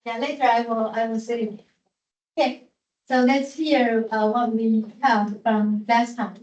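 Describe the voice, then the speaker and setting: A woman's voice: fairly high pitch, steady tone, measured pace, darkish tone. A woman teacher giving classroom instructions with many fillers and repeated words. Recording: echoey classroom from far off, dull.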